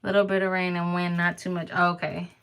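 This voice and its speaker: Bright-voiced, playful New woman. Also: fairly low pitch, monotone, a little fast, clear, resonant tone, low-quality recording. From New York (Harlem). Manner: playful, teasing, laughs often. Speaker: vlogger chatting with live viewers. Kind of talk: casual live-stream chat.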